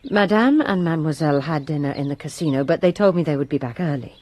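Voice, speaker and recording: A woman's voice: deep voice, lively intonation, fast talker, warm tone, dry recording. A woman playing a resentful lady's maid who gives her testimony with bitter emphasis in a radio drama.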